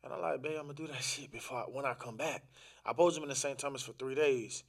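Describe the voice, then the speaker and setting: A man's voice: medium pitch, fast talker, creaky. Casual, slangy man telling a personal story. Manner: animated retelling with quoted dialogue, repeats phrases for emphasis; informal, slangy, profane. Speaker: podcast guest.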